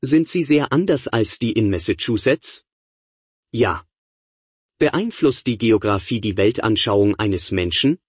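A middle-aged man teaches a college class in a relaxed, conversational lecture style, peppering his students with rhetorical questions. His voice sits low and has a husky edge. The recording is dry, with no room sound. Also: unhurried, dry recording. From Niagara Falls area, New York, USA. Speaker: professor.

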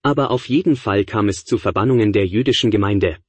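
A man giving a measured, explanatory lecture on early church history. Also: lowish voice, husky, dry recording.

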